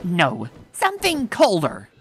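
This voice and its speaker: A woman's voice: on the low side, huge pitch swings, takes it slowly, heavily acted. A woman voicing a brash, cocky young female cartoon pegasus in a comic dub, with a boastful, dramatic delivery. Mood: determined, boastful. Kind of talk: animated comic dub acting. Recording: voice over background music.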